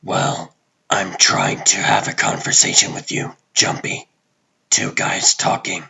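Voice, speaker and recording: A man voicing a gruff, calm and wry vigilante superhero in a comic book dub, with a husky, hushed rasp and terse delivery. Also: low-pitched, heavily acted. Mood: calm, serious. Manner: terse, dry, deliberate.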